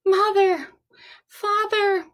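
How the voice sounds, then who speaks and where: A woman voicing a bold, proud little girl in a children's storybook, with a dramatic, drawn-out, sing-song delivery. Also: very high-pitched, fairly even intonation, pitched above the performer's own voice, exaggerated voice. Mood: frightened, crying out. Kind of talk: children's storybook read-aloud.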